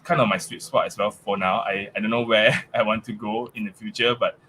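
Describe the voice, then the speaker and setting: A man's voice: on the low side, fairly even intonation, a little fast, pushed and bright, poor audio. A man with a Malaysian accent, English not his first language, talking casually as a podcast guest with many restarts and fillers. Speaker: guest, tech staff member.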